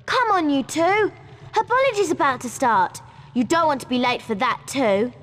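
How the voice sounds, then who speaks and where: A woman's voice: high-pitched, animated, moderate pace, fairly bright tone. A woman with a British accent voicing a prim, bossy schoolgirl witch in a video game. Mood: brisk, bossy. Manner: prim, reproving.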